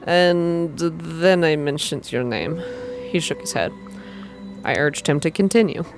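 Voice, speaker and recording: A young adult reading a visual novel aloud in a soft ASMR style, close to the microphone, with informal asides between passages. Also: medium pitch, measured pace, soft background music. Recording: clear background noise.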